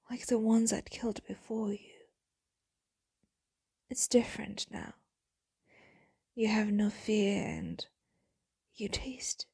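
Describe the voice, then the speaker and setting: An ageless female vampire, acted by a woman, murmurs tenderly to a beloved in an ASMR roleplay. The voice is mostly whispered and very breathy, drifting slowly with melancholy devotion. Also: medium pitch, a little mellow, dry recording, studio quality, exaggerated voice. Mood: hushed, reminiscing. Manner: slow, intimate, tender, breathy delivery.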